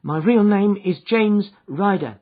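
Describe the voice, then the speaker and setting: A man's voice: high-pitched, takes it slowly, pitched above the performer's own voice. A man voicing a nervous, frightened little man who whines in a quivering voice, heard as a tinny lo-fi audiobook recording. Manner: whining, quivering.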